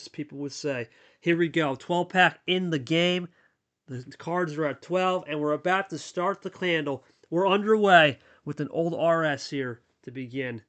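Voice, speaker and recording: A man doing casual play-by-play commentary on a card game, chatty and hyped, talking with his co-host. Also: fairly bright tone. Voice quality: deep radio voice.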